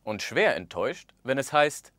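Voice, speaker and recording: A man in his thirties presenting a language course in a studio, speaking with expressive gestures and acting out the example phrases. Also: medium pitch, moderate pace, no echo. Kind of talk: scripted lesson narration. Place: studio with orange backdrop.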